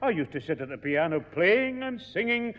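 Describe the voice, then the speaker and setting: An actor playing a fond old grandad, talking slowly and reminiscing. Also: male voice, high-pitched, very animated, fairly bright tone. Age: older. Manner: slow and reminiscing. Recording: dull old radio recording.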